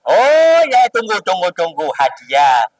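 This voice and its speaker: A man's voice: high-pitched, wildly swooping pitch, measured pace, no echo, recorded from a distance, voice pushed down, exaggerated voice, poor audio. A man voicing a kindly, grateful, easily flustered male religious teacher who exclaims and repeats himself in a children's story.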